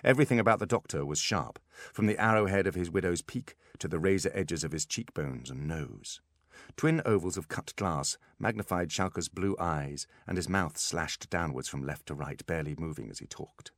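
Male audiobook narrator, very deep, dry close sound, dramatic scripted reading. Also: normal speed, fairly bright tone, dry recording.

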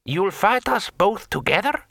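A man's voice: on the high side, moderate pace, bright, forceful tone, no room sound, studio quality, pitched above the performer's own voice. A man voicing a tall, wiry dragon lord in a fantasy audiobook, speaking in a challenging, taunting way.

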